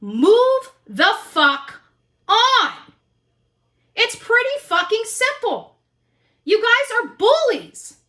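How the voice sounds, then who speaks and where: A woman's voice: extremely high pitch, very animated, drawn-out words, pauses often, sharp tone. A woman in her fifties talking combatively and dismissively in a livestream rant, swearing with heavy emphasis. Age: 50s.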